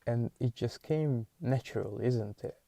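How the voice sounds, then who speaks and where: Hungarian man musing aloud, gravelly. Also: mid-range voice, warm tone, dry recording. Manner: halting, searching, thinking aloud with many restarts. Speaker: podcast host. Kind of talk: solo musing monologue.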